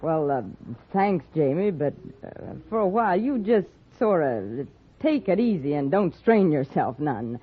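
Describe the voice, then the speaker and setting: A woman's voice: sits low, big pitch swings, a little slow, slightly rough, full character voice. An actress playing a capable, no-nonsense woman rancher in a radio western, blunt and folksy with a Western American accent. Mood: awkward, humoring. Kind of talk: old-time radio western acting. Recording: dull, faintly noisy old radio recording.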